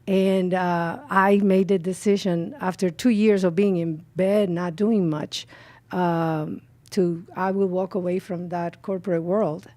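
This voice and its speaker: A woman's voice: fairly low pitch, fairly even intonation, unhurried, dry recording. A middle-aged woman from the Dominican Republic with a Spanish accent, earnestly telling her personal testimony as a guest on a talk show. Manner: earnest, heartfelt storytelling with frequent "you know" and soft laughs.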